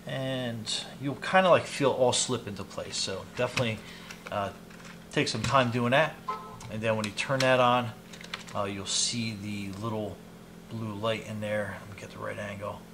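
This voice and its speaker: A man in his fifties giving a casual, unhurried product demonstration, explaining each step informally as he goes. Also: lowish voice, fairly even intonation. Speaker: product reviewer demonstrating gadgets on his channel. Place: small indoor hobby room at a desk. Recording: clear background noise, clicks of handled items.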